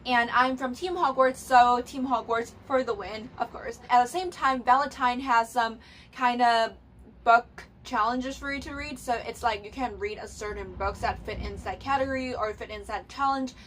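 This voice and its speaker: Young woman, Cantonese first language, brightish pitch, chatty booktuber with frequent "um"s, faint room hiss behind. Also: moderate pace. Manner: chatty, many fillers and restarts. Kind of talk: casual bookish chat to camera. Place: bedroom. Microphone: camera mic.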